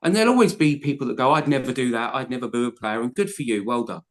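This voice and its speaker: A man's voice: mid-range voice, animated, fast talker. Passionate rambling man. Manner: passionate, rambling, self-correcting restarts. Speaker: podcast co-host. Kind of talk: casual football podcast chat.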